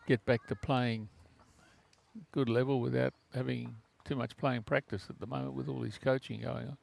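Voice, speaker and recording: A man co-commentating in a relaxed, halting way, restarting phrases often. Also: medium pitch, vocal fry. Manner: halting, with many restarts and uh fillers.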